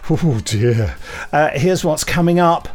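A man's voice: a little high, animated, slow. A man co-hosting a podcast in a chatty, wry, self-deprecating and rambling way. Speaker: podcast co-host. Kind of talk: chatty, semi-scripted podcast presenting.

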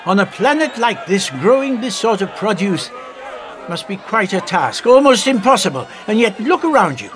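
Brief: a crotchety old gentleman traveller, high and very animated, bossy and inquisitive. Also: normal speed, a faint crowd, a little hiss or hum. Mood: curious. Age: older. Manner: testy, lively, old-fashioned, bossy. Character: fussy elderly male time traveller, curious. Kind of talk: audio drama acting.

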